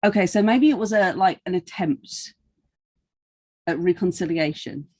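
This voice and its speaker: Dry, teasing podcast interviewer, mid-pitched. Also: measured pace, darkish tone. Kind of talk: casual banter.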